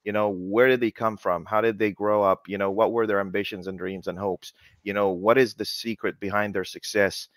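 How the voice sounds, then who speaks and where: Male podcast host, lowish voice, rambling, jocular and conversational, dry close sound. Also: steady tone, moderate pace, hardly any pauses, no room sound. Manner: rambling, jocular, repeats himself. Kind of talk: casual interview chat. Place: home office, video call. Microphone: headset on a video call.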